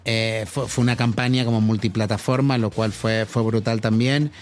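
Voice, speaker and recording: A man's voice: lowish voice, fairly even intonation, moderate pace. A middle-aged man from Argentina with an Argentine accent, a marketing executive answering a podcast interview in a thoughtful way, with many fillers and restarts.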